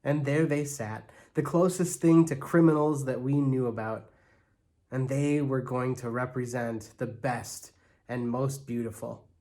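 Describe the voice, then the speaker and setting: Male storyteller, mid-range voice, expressive and animated, reading a storybook aloud for children. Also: mid-range voice, a little slow. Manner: expressive, acts out characters' lines. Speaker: church staff storyteller.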